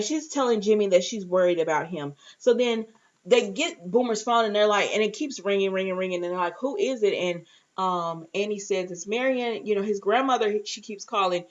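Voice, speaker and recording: A woman's voice: medium pitch, lo-fi sound. A woman giving a casual, chatty recap of a TV show in an informal way, retelling dialogue and adding her own reactions. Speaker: reviewer and host of a TV recap show. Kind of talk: casual recap chat with acted-out asides.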